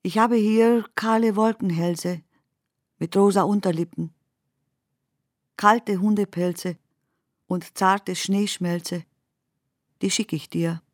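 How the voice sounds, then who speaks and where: A woman performing as the narrator of surreal, dreamlike poetic prose in a radio play, speaking in a measured, deliberate manner. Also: mid-range voice, fairly even intonation, no echo, studio quality, natural voice.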